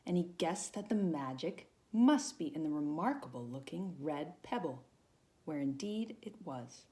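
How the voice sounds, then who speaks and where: A woman reading a children's storybook aloud as a volunteer, with dramatic pauses and emphasis. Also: lowish voice, darkish tone.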